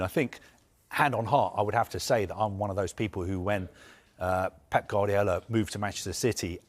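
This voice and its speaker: A man giving live news analysis as a football reporter, earnest and emphatic, building his points through lists. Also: mid-range voice.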